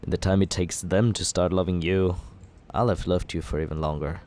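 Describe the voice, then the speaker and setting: A man in an ASMR roleplay as a villain, talking smoothly and persuasively in a teasing, flirtatious way. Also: deep voice, steady tone, fairly quick, darkish tone, a little hiss or hum.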